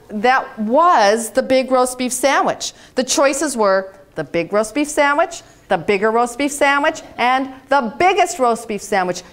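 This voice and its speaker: A woman in her fifties from the United States giving a storytelling keynote speech in a conference room, animated and dramatic. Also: high-pitched. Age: 50s. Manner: animated, dramatic, gesturing on stage. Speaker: keynote speaker. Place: hotel conference room, indoors.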